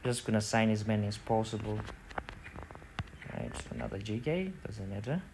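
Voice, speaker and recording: A man's voice: lowish voice, normal speed, noisy background. A man from Zimbabwe with a Zimbabwean accent gives a casual gaming tutorial, rambling a little and repeating words as he goes. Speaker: gaming video host. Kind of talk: casual tutorial commentary over football game screen.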